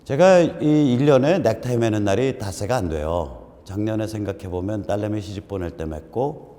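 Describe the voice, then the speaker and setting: A man's voice: on the low side, lively intonation, normal speed, warm tone. A man in his fifties hosting a casual talk show, joking and self-deprecating, with a congested, strained voice. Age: 50s. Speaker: host. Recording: roomy hall echo, faint noise.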